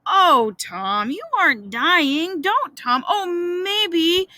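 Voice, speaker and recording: A woman's voice: high-pitched, wildly swooping pitch, slow, bright, forceful tone, no echo, studio quality, voice pushed up, exaggerated voice. A woman voicing a frightened, earnest young boy in a storybook, exclaiming urgently. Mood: alarmed, worried. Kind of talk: storybook character voice.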